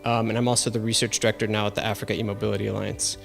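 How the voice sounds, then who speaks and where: Fast-talking enthusiastic male researcher. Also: lowish voice, level tone, vocal fry, soft background music. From Tanzania. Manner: enthusiastic, explanatory, many fillers. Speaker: guest researcher. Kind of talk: interview answers.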